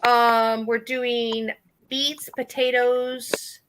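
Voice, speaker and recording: A woman from Oregon with a high voice, chatting casually in a group call, blunt, animated and swearing freely. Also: extremely slow, background noise. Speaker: guest in a group chat.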